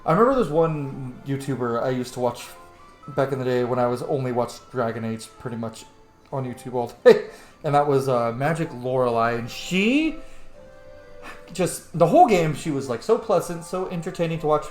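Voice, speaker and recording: Male gamer commentator, lively swings of pitch, slangy and opinionated, roomy sound, faint music. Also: normal speed. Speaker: game streamer. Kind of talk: casual game commentary.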